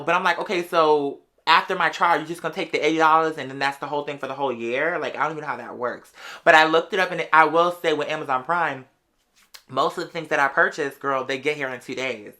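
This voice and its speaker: Casting: late-twenties American, bright edgy tone, mid-register, sassy slangy casual storyteller. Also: medium pitch. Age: late 20s. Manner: conversational and sassy, heavy slang, often interjecting "girl".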